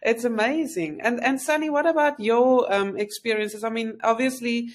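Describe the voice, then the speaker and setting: A woman hosting a podcast, encouraging her guests and asking questions with halting repeats. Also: a little high. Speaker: podcast host. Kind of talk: interviewing.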